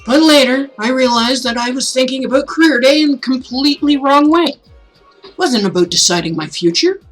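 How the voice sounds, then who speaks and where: A woman's voice: high voice, lively intonation, a little fast, faint music behind, voice pushed up. A woman voicing an affable older male mayor giving a folksy, jokey, rousing speech in a visual novel reading. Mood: earnest, encouraging.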